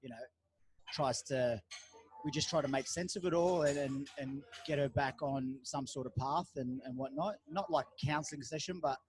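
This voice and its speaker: A man's voice: mid-range voice, normal speed, slight background noise, poor audio. A man hosting a podcast in a cafe, chatting loosely with many fillers and restarts. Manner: rambling, lots of fillers and restarts. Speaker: podcast host. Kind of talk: casual interview chat.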